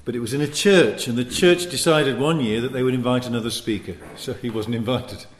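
A man preaching in a teaching sermon, speaking in deliberate, emphatic short phrases with pauses between them. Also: mid-range voice, a little hiss or hum. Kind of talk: sermon teaching with scripture and anecdotes.

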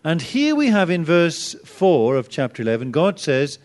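A man's voice: on the high side, huge pitch swings, moderate pace, a little mellow. A man teaching an informal Bible class, chatty and self-deprecating, with sudden bursts of emphasis.

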